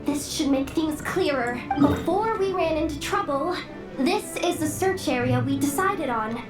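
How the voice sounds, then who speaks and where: Nervous young scout, stammering, slow, reverb, game music behind. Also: female voice, high-pitched, animated. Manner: hesitant, full of "uh"s and stammers.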